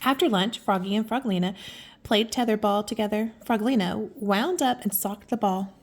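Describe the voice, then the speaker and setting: A woman reading a picture book aloud to children, affectionate and expressive, with chatty asides and exclamations. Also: medium pitch, normal speed. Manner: affectionate, gasps and exclaims in reaction to the story. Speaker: children's story reader and host.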